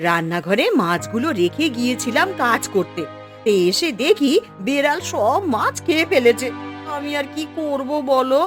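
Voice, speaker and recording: A woman playing a plaintive, fussing village housewife in a folk-tale cartoon, expressive and exclamatory. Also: sits high, normal speed, music in the background. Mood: apologetic.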